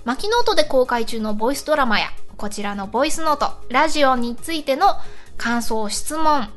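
A woman's voice: high voice, expressive, a little fast, a bit bright, soft background music. A woman from Kansai hosting a casual radio show, chatting politely and reading out announcements in a giggly, friendly chat style. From Kansai, Japan.

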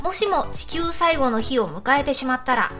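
A woman's voice: high-pitched, big pitch swings, normal speed, a bit bright, faint music behind. A woman hosting a hobby internet radio show, politely reading out listener messages with frequent backchannels and giggles.

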